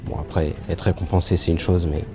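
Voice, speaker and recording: A man's voice: low voice, steady tone, fairly quick. A man aged twenty-one from the Paris region, a young apprentice craftsman giving informal interview answers. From Paris region, France. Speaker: apprentice marquetry craftsman, award winner. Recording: music playing behind the voice. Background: background music.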